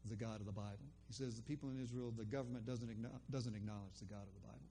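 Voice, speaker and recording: A man's voice: on the low side, steady tone, very fast, warm tone, slight background noise. An older man telling a personal faith story in a loose, rambling way, full of ums and restarts. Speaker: storyteller recounting a faith conversation. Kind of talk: casual spoken testimony.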